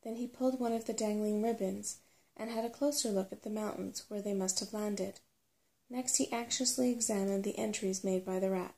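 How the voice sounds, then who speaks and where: A soft-spoken woman reading a children's fantasy story aloud in an unhurried ASMR style. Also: medium pitch, steady tone. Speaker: storybook reader.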